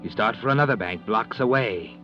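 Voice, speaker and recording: Male actor as sardonic ominous narrator, American, low-pitched, deliberate, mocking, old radio mystery, music behind, dull lo-fi. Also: lively intonation, natural voice. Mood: ominous, suspenseful. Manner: mocking, deliberate, addresses characters as "you". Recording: dull lo-fi vintage radio recording.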